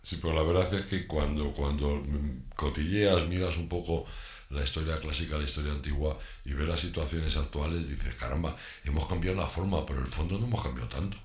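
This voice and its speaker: A man's voice: very deep voice, a little fast. A man hosting a casual radio interview, reading out listener questions and answering with short, chatty agreement. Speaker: radio host. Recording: roomy radio studio sound.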